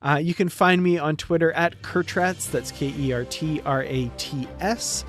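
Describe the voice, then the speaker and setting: A man's voice: on the high side, a little slow, a bit bright, faint music behind. A man co-hosting a podcast, chatting casually as he gives a review. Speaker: podcast co-host.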